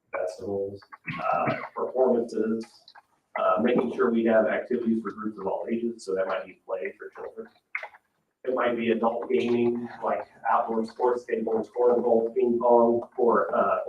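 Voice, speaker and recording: A man giving a measured, explanatory public presentation as a landscape architect at a meeting, with somewhat hesitant phrasing. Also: on the low side. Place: indoor public meeting room. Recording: roomy, distant mic, dull sound with noise.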